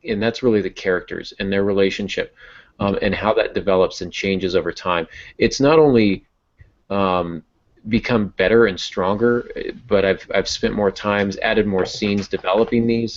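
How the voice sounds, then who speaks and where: A man speaking casually on a podcast as a novelist, explaining and thinking aloud. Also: deep voice. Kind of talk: casual podcast discussion of writing. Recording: dull, lo-fi podcast audio.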